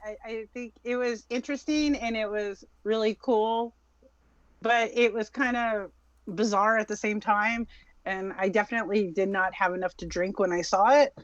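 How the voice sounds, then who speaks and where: A woman's voice: mid-range voice, fairly even intonation, moderate pace, no room sound. A woman co-hosting a podcast in casual chat, on a dull lo-fi microphone. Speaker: podcast co-host. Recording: dull lo-fi mic sound.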